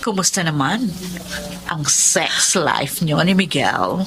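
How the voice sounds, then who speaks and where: An actress playing a chatty, nosy, teasing socialite friend with a Filipino accent in a radio drama. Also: female voice, low voice, lively intonation, slow pace, heavily acted, poor audio. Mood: chatty, prying.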